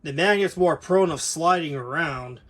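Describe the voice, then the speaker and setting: Higher-pitched American man, casual and rambling. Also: moderate pace. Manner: rambling, folksy, conversational. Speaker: vlogger showing vintage audio gear. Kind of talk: casual unscripted product walkthrough.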